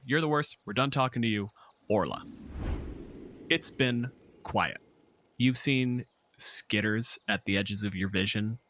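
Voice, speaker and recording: A man's voice: lowish voice, sharp tone, no room sound. A man speaking casually as a game master, narrating scenes off the cuff with some profanity.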